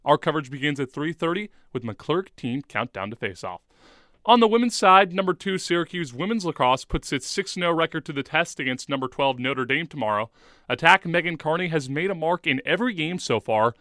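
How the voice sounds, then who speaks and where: A man's voice: a little high, lively intonation, a little fast, sharp tone, no echo, studio quality. A man reading a scripted sports radio report as the reporter.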